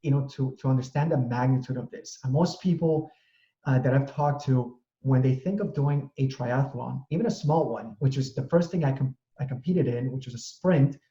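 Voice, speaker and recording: A middle-aged male host leads a casual interview, his long questions winding through restarts and asides. His voice is mellow and dark, heard in a roomy, echoing space through dull, lo-fi audio. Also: mid-range voice, normal speed. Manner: rambling, many restarts and fillers. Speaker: interview host, real estate broker. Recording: echoey room, dull audio.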